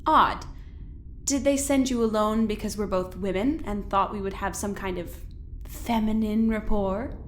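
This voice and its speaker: A woman's voice: mid-range voice, unhurried, slight background noise, close to an everyday voice. A woman playing a polite but calculating scientist in an audio drama, with a genteel, formal, mock-innocent manner. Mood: smug, coy. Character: polite, smug, calculating woman scientist, needling and faux-sweet.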